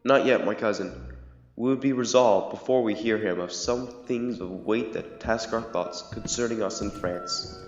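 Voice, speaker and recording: A man acting a solemn young king in an amateur Shakespeare audio drama, speaking verse in a formal, measured, grave way. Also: on the low side, close to an everyday voice. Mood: regal, composed. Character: solemn young king of England in a history play. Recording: echoey hall, muffled, music behind.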